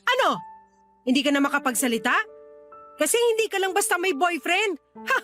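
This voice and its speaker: A woman's voice: very high-pitched, very animated, moderate pace, a bit bright, heavily acted. A woman playing a stern, scolding older sister in a radio drama, with a Filipino accent. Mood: angry, accusing. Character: angry, scolding older sister who supports her siblings. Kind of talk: Tagalog radio drama acting. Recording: faint music behind the voice.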